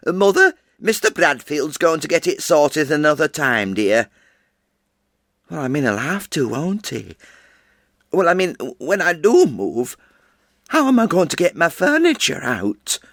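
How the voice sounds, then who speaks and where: An actor playing a chatty, fretful elderly widow who rambles and interrupts herself. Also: male voice, a little high, a bit bright, no room sound, studio quality, exaggerated voice. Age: older. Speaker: actor performing a character monologue. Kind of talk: stage character monologue.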